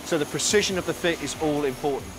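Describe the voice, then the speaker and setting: A man in his forties leading a tour of a large factory floor, explaining each production step in a calm, clear way. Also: on the high side, fast, low-quality recording. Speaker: factory guide. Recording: music bed under voice.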